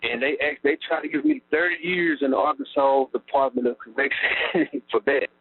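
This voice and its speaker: A man's voice: a little high, wildly swooping pitch, normal speed, creaky. A middle-aged man with a drawl talks at length from prison, rambling and filling gaps with "like" and "you know." His voice comes through a tinny phone line with a faint hum underneath. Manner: rambling, lots of fillers, laughs wryly at times. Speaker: incarcerated interviewee. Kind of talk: casual storytelling about his past.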